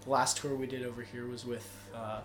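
A man's voice: mid-range voice, flat delivery, fairly quick, fairly bright tone. A man, a touring band member, answering interview questions in an easygoing, laid-back way at a music venue. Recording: echoey venue room with background noise.

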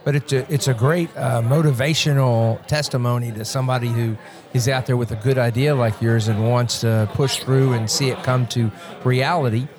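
Encouraging male interviewer, mid pitch. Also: fairly even intonation, normal speed, hardly any pauses, crowd noise. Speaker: interviewer host. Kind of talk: casual interview.

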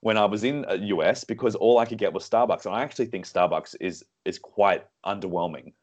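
An Australian man with a hoarse voice from being sick, explaining things conversationally as an interview guest, often repeating words as he goes. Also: fairly low pitch, a little fast, dull audio.

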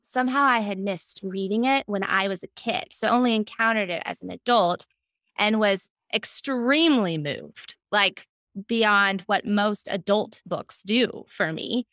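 A woman with an American accent speaking as a podcast guest in an animated, conversational interview style. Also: mid-range voice, no echo. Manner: animated, quotes self and others in anecdotes. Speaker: podcast guest, children's book editor.

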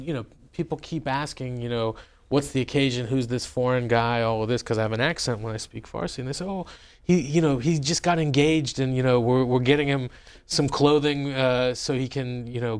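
A man's voice: mid-range voice, fairly even intonation, normal speed, clear, resonant tone. A man, a journalist and author, recounting his experiences in a wry, conversational way with many fillers and restarts. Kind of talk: interview, personal storytelling.

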